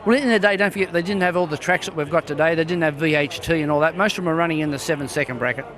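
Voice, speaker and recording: Quick, higher-voiced man explaining a car. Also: a bit bright. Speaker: car owner. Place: outdoor car show.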